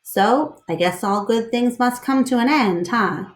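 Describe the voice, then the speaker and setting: A woman's voice: on the high side, measured pace, echoey room, voice pushed up, lightly acted. A woman voicing a small, casual, wry male dog who is a loyal friend in a storybook. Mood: forced cheer.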